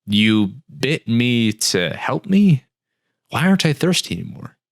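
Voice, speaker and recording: A man's voice: medium pitch, big pitch swings, measured pace, gravelly, no echo, studio quality. A man voicing a dazed man stranded in a desert, who asks questions in a bewildered, pleading way, in a storybook reading.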